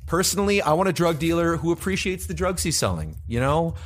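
A man's voice: fairly high pitch, animated, brisk. A man in his thirties co-hosting a podcast, talking casually and rambling with frequent restarts and fillers. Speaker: podcast co-host.

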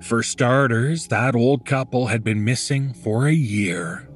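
A man's voice: medium pitch, slow, faint music behind, natural voice. A man playing a callous, smug killer who narrates a horror audio story in a conversational, sardonic, crude way. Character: callous, smug male killer confiding in the listener.

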